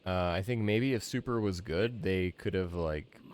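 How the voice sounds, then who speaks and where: A man with a low-pitched voice talks casually as he streams a video game. He muses like an opinionated fan, thinking aloud in a conversational way. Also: normal speed, slight background noise. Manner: musing, opinionated fan chat. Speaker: streamer, podcast host.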